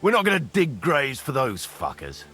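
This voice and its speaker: A man playing a blunt, mocking, foul-mouthed medieval mercenary commander in a video game, with a husky, forceful voice over music. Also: mid-range voice, huge pitch swings, moderate pace. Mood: sardonic, warming. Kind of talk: video game cutscene acting.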